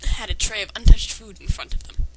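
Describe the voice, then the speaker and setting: A woman's voice: medium pitch, fairly even intonation, a little fast, background noise. A woman reading fan fiction aloud with sarcastic, critical commentary. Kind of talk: dramatic reading with mocking commentary.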